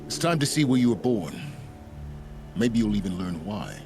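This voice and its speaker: Smug secretive scholar in a game, really deep, erudite and talks down to you, music behind. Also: male voice, very animated. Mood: smug, condescending. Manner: measured, erudite, condescending. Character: aloof, cunning male researcher, condescending and secretive. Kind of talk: video game cutscene acting.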